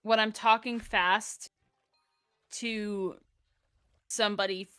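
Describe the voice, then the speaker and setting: A woman's voice: medium pitch, fairly even intonation, slow pace, sharp tone, clean tone, no room sound, studio quality. A woman with an Upper Midwest American accent, chatting playfully as a guest on a live stream. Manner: playful, compares how words are said. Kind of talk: casual chat.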